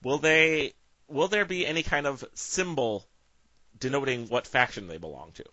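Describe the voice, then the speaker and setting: A man's voice: mid-range voice, lively intonation, normal speed, pushed and bright, no room sound, muffled recording. A man hosting an interview, asking questions in a casual, conversational way. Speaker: podcast interviewer.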